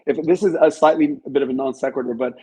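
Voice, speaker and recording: A man's voice: mid-range voice, fairly even intonation, fast talker, mellow, breathy edge. Male interview guest, author type, modest and reflective, conversational storyteller.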